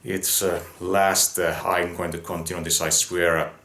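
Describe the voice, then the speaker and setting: A man's voice: low-pitched, level tone, moderate pace, fairly bright tone. A man reading a scripted review as narration, opinionated and given to wry asides.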